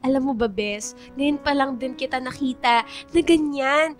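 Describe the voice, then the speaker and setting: A woman's voice: high voice, unhurried, soft background music. A woman playing a young student in a radio romance drama, the teasing, exasperated but supportive best friend. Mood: amused, teasing. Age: young adult. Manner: teasing, animated, colloquial.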